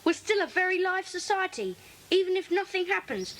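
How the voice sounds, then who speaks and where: A woman's voice: extremely high pitch, very animated, a little fast, fairly bright tone, heavily acted. A woman playing an earnest, eager child in a secret club for a children's audio drama, insistent and pleading. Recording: faintly noisy old cassette.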